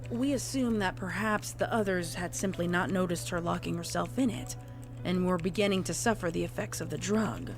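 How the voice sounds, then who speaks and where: A woman reading a scripted horror story as a narrator, in the form of a report. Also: lowish voice. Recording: clear background noise.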